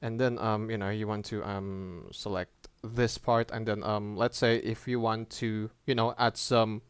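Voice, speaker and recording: A man's voice: lowish voice, level tone, smooth, no room sound. Clean, dry-sounding man giving a casual tutorial. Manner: halting, informal, hesitant phrasing. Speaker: tutorial presenter. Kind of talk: casual app tutorial voice-over.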